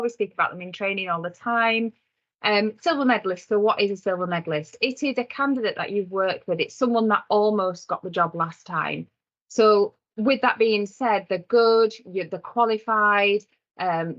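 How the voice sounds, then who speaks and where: Female trainer, average pitch, conversational teaching style, slightly dull webinar audio. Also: a bit bright. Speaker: software trainer co-presenting a webinar. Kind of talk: conversational instructional presentation.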